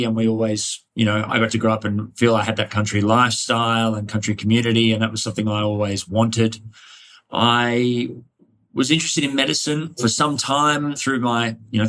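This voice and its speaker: A man's voice: mid-range voice, fairly bright tone. An Australian man answering interview questions conversationally, in a reflective, discursive manner. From Hunter Valley, New South Wales, Australia. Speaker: interview guest, cardiologist.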